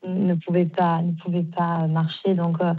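Woman in her 40s calling in by phone, lowish voice, tinny line, stumbles and repeats herself. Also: flat delivery. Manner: halting, repeats herself, warm and emphatic agreement. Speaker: caller, mother. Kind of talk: phone interview on radio.

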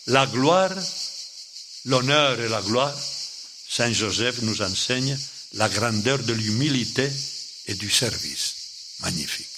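Slow older man preaching, non-native French. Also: medium pitch, background noise. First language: not French. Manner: slow, halting, with short phrases and pauses. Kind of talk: sermon.

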